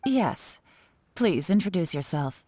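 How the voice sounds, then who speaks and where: A woman's voice: on the low side, very animated, dark tone, a little hiss or hum. A woman voicing a composed, shrewd female leader in a video game, assured and slightly teasing. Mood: composed, businesslike. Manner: cool, assured, brisk authority. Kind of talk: English video game voice acting.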